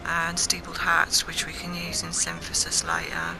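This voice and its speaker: A person giving a casual video game walkthrough in a hesitant, low-key, matter-of-fact way. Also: sing-song, moderate pace, creaky, low-quality recording. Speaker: game guide narrator. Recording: game music behind the voice. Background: video game music.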